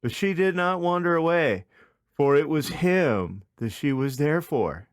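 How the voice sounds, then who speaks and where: A man's voice: on the high side, lively intonation, measured pace, clean tone, dry recording, clean studio sound. A man hosting a podcast, reading a comic story in a mock-dramatic narrating style. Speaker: podcast host.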